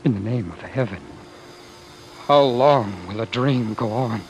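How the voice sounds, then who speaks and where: An actor playing a weary, haunted man in a grave, halting 1940s radio drama monologue, on an old broadcast recording. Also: mid-range voice, muffled recording, a little hiss or hum, natural voice. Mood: haunted, exhausted. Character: weary, haunted man narrating a dying world.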